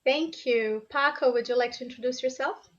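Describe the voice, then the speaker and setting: Female English teacher from Brazil hosting a group call; high-ish voice over muffled audio. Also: normal speed. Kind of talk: online group video call. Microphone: video call.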